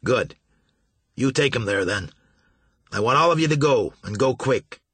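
A man voicing a gruff male riverboat captain who gives blunt orders in folksy talk. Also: on the low side, a little slow, no room sound. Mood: commanding.

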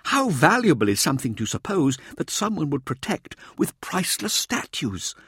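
An eager showman of a scientist , acted out by a male audiobook reader, pitched far up from a normal male register. He lectures and presses his listeners theatrically, with big swings in pitch and clean, dry sound. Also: very animated, moderate pace, a bit bright, husky, no echo, full character voice. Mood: intense. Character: eager, showboating male expedition scientist, excitable and insistent. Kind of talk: audiobook character dialogue.

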